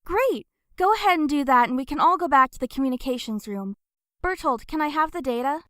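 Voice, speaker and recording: A woman playing a brisk, excitable supervisor in a fan audio drama. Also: high-pitched, no room sound, studio quality.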